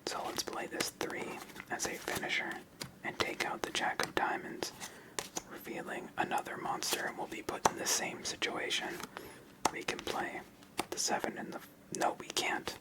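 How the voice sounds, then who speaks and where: A fully whispered voice hosts an ASMR solitaire session. The delivery is hushed and unvoiced, narrated step by step in an explanatory way, close to the microphone. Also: moderate pace, rough recording. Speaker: host playing a solitaire card game. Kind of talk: ASMR whispered game commentary.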